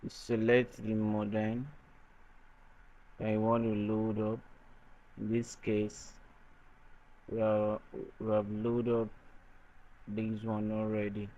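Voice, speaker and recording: A man presenting an unscripted software tutorial in a halting, hesitant way. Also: fairly low pitch, monotone. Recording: dull lo-fi microphone sound.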